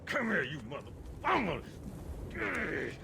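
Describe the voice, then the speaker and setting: A man playing a gruff, salty soldier in battle in a video game, over very noisy ambience. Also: high-pitched, huge pitch swings, slow, full character voice. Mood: defiant.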